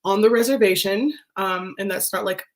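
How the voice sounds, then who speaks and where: A woman's voice: medium pitch, moderate pace. A woman talks casually to her viewers in a chatty, rambling way, full of fillers and small laughs. Her voice is nasal and congested, as if she is still getting over being sick. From United States. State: recovering from illness, congested. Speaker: vlogger. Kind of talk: casual chat to camera.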